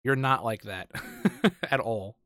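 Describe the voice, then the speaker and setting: A man hosts a casual podcast in an informal, wordy way. His voice sits at an average male pitch. The recording is dry, with very little room around it. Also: very animated, slow, pushed and bright, no echo. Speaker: podcast host.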